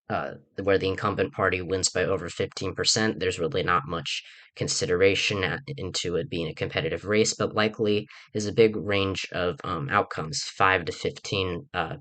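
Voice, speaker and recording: A man's voice: deep voice, bright, forceful tone, no room sound. A young man hosting his own political commentary video, groggy from a recent illness and rambling with many fillers and restarts. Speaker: political channel host.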